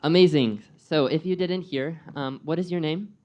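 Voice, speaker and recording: A man's voice: on the high side, moderate pace, a little mellow, lo-fi sound. A young American man giving a live presentation, engaging the audience with questions in a friendly way. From United States. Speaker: guest speaker and program coordinator.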